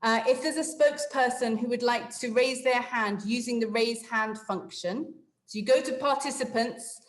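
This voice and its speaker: A woman with an English accent, pitched a little high, leads a video-call meeting. She explains each step patiently, with plenty of ums and apologies, and her voice rings in an echoey room. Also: moderate pace. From England. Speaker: webinar facilitator. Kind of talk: hosting an online meeting.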